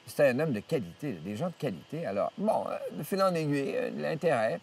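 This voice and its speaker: A man, a priest telling stories from his life in an interview, rambling with many hesitations and restarts and lively retelling of what others said. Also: on the high side, a little fast, gravelly, recorded from a distance. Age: 70s. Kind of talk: storytelling interview. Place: outdoors in nature.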